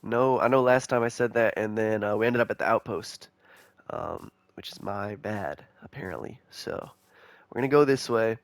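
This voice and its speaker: A young man giving casual, rambling commentary over a game, excitable and chatty with viewers, his voice a little nasal from a cold. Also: fairly low pitch, moderate pace, no room sound. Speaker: gaming YouTuber.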